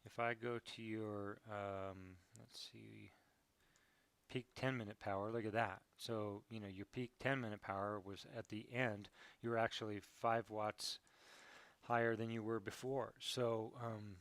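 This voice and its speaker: A man's voice: fairly low pitch, level tone, measured pace, fairly bright tone, dry recording. An American man speaking casually and encouragingly as a cycling coach, thinking aloud as he reviews training data.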